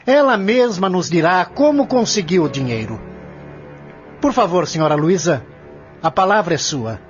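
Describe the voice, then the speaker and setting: Casting: male actor, accusing prosecutor for radio play, formal and emphatic, very animated delivery; dull recording with music behind. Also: high voice, moderate pace. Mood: firm. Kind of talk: audio drama acting. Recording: muffled lo-fi audio with music.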